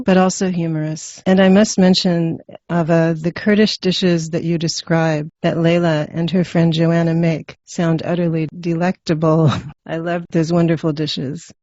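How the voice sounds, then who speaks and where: A woman's voice: on the low side, warm tone, no room sound, lo-fi sound. A woman hosting an interview, reading a formal introduction and asking questions in a polished way. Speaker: interviewer host.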